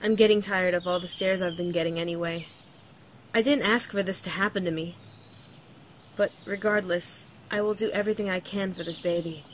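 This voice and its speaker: A woman's voice: on the low side, fairly even intonation, brisk, lightly acted. A woman playing an earnest young student in an audio drama, confiding in a natural, conversational way. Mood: resolute, confiding. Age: young adult. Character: earnest young pregnant student, wistful, determined. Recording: faint outdoor noise, birdsong.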